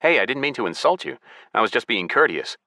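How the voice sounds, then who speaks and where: A man's voice: medium pitch, very animated, fast, gravelly, pushed and bright, no room sound, studio quality, voice pushed up, full character voice. A man voicing a serious, decisive young gamer hero in an earnest, firm manner. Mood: polite.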